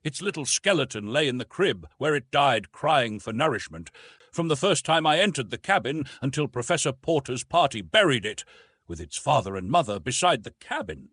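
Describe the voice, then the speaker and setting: A man's voice: medium pitch, sing-song, normal speed, a bit bright, husky, dry recording, pitched above the performer's own voice. A man voicing a proud young wild man of the jungle in an audiobook, with grave, formal wording. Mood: grave, sad.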